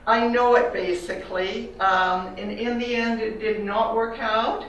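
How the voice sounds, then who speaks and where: An older woman with a Canadian accent gives a reflective, personal slide talk about her artwork to an audience, pausing with fillers. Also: a little high, fairly even intonation, a bit bright, dull audio, slight background noise. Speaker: artist giving a talk. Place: large echoey room. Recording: very echoey hall from a distance.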